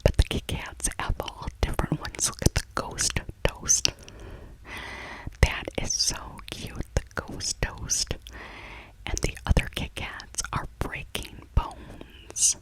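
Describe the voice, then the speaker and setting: A middle-aged American woman speaking in a soft, breathy whisper, chatting nostalgically in a casual ASMR voiceover and often repeating her phrases. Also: takes it slowly, low-quality recording. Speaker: ASMR video creator.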